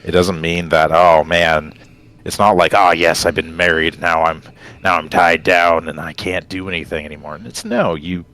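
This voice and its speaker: A man talking casually and reflectively as a co-host, rambling and halting as he works out his thoughts. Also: low voice, fairly bright tone. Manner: halting, rambling, thinks aloud. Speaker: podcast co-host.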